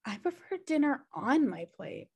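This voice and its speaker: A woman's voice: sits high, very animated, moderate pace, darkish tone. A woman playing a sardonic, pushy slacker sister in her thirties, dry and teasing, at a comedy table read on a video call. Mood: dry, stoned. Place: home room on a video call.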